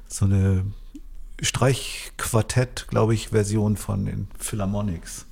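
A man's voice: on the low side, steady tone, takes it slowly, gravelly, darkish tone. A man hosting a music interview in a conversational style. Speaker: interviewer, music show host.

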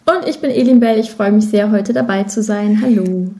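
Casting: female podcast guest, warm mellow timbre, medium pitch, thoughtful conversational explainer. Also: moderate pace. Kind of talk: casual conversational interview answers.

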